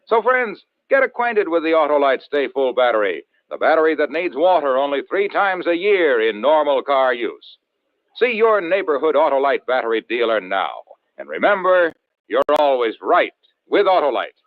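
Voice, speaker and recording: A man announcing as a 1940s radio pitchman, with polished, emphatic, scripted sales delivery. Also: sing-song, moderate pace, no room sound. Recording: tinny old radio recording.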